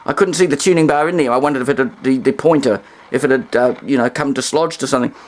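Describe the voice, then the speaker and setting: A man's voice: medium pitch, quick pace. A man with a New Zealand accent giving a casual, rambling workbench demonstration with wry humour. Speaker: hobbyist presenter.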